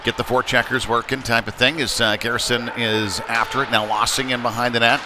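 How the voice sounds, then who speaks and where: A man's voice: medium pitch, level tone, a little fast. Man calling hockey over rink noise. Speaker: play-by-play sports commentator. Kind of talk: live ice hockey commentary. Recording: noisy live rink broadcast.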